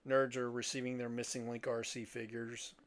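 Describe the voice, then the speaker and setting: A man's voice: medium pitch, flat delivery, moderate pace, a crowd in the background. A middle-aged man chatting casually as a podcast host, rambling and jokey. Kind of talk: casual chat.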